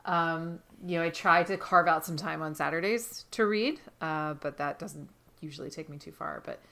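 Casting: woman podcast guest, slightly low pitch with a bright, crisp edge, informal, feeling her way through sentences with small asides. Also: normal speed. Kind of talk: casual conversation about books.

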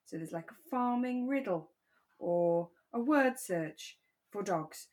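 Relaxed British woman chatting as podcast host. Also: mid-range voice, takes it slowly. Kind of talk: relaxed casual chat.

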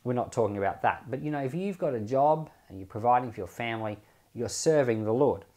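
A man, a pastor, reading scripture aloud and commenting on it informally, with measured, deliberate phrasing. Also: mid-range voice. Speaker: pastor and Bible podcast host.

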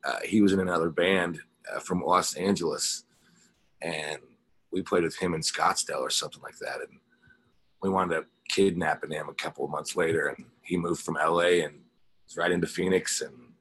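A man's voice: sits low, fairly even intonation, normal speed, a bit bright, husky. An American man from the Ozarks in Missouri, a guest telling stories casually in an interview in a rambling, anecdotal way. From small town in the Ozarks, Missouri, USA. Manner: rambling, anecdotal, peppered with "you know". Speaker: guest, rock guitarist and singer.

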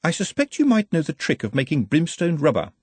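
A man doing a calm, formal, quietly unsettling little stranger. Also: fairly high pitch, brisk, slightly rough, dry recording, pitched above the performer's own voice, exaggerated voice. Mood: calm polite. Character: small, polite, calm, unsettling young male.

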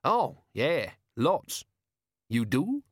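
A man's voice: medium pitch, very animated, extremely slow, no room sound, clean studio sound, pitched above the performer's own voice, exaggerated voice. A man voicing a scruffy, awkward soldier who bluffs and flusters. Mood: bluffing.

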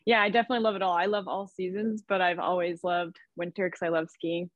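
A woman's voice: fairly low pitch, flat delivery, quick pace, dry recording. Upbeat woman guest chatting away, laughs a lot, dull dry sound like a remote call. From Idaho, USA. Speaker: podcast guest. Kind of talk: casual conversation.

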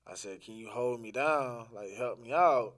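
A man's voice: mid-range voice, normal speed. Casual, slangy man telling a personal story. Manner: animated retelling with quoted dialogue, repeats phrases for emphasis; informal, slangy, profane. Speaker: podcast guest.

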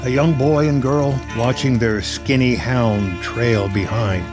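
A man's voice: medium pitch, takes it slowly. A man reading scripted screenplay narration as the narrator of a drama. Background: music.

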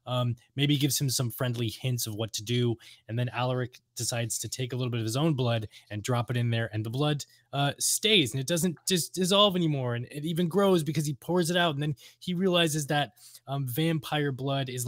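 A man's voice: medium pitch, dry recording, studio quality. A man co-hosting a podcast, chatting informally and with enthusiasm about games. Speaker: podcast co-host. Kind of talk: casual chat about games and radio plays.

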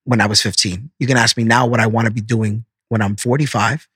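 A man's voice: mid-range voice, fairly even intonation, a little fast, fairly bright tone, breathy edge, no room sound, clean studio sound. A man in his thirties, a chef telling earnest, proud stories in a casual interview. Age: 30s. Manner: earnest storyteller, proud, repeats words.

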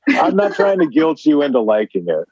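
A man's voice: on the high side, big pitch swings, normal speed, lo-fi sound, very noisy. An American man hosting and interviewing in a casual, conversational style. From Portland, Oregon, US. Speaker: podcast host and interviewer.

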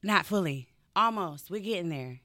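Playful woman in her thirties from Atlanta, low voice, dry studio mic. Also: animated, normal speed, bright, forceful tone, no echo. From Atlanta, Georgia. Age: 30s. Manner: upbeat and playful. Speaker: fitness coach guest. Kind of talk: casual interview chat.

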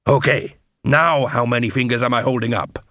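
Man playing a deliberate, grumbling dwarf watchman. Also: medium pitch, steady tone, pushed and bright, no room sound, clean studio sound, lightly acted. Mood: instructive. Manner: deliberate, instructive.

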